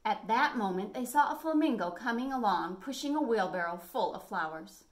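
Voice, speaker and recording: An American woman in her forties reading a picture book expressively as a storytime host, phrasing clearly for children. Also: a little high, lively intonation, moderate pace. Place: small living room at home, indoors.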